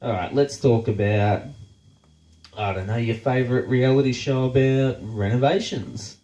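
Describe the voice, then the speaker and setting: A man's voice: mid-range voice, a little slow, dark tone, reverberant. An Australian man with a blocked, nasal voice, hosting a blunt, sarcastic rant full of swearing. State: congested, nasal from a blocked nose. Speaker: show host. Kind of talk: casual profane rant.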